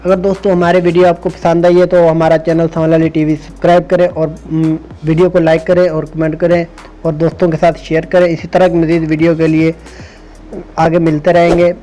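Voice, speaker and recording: A high-voiced man hosts an informal, step-by-step app tutorial. His delivery stays quite flat in pitch. Soft music plays behind him. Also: a little fast, rough recording. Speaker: tech tutorial host.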